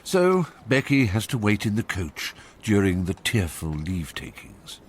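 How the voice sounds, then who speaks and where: Deep, wry English narrator. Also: male voice, sing-song, unhurried, slight background noise. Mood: wry, amused. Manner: ironic, knowing. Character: wry, urbane storyteller of a Regency satire. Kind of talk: scripted dramatic narration.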